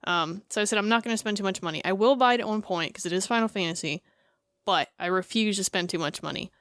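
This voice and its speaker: A woman co-hosting a casual podcast chat, talking in quick asides and laughing often. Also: mid-range voice, fairly bright tone, no echo, studio quality. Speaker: podcast co-host.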